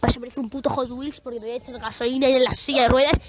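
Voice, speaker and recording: A woman's voice: sits high, steady tone, very fast. A woman trolling in aggressive, slangy street talk. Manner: confrontational, aggressive. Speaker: troll caller. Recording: faint background noise, clicks.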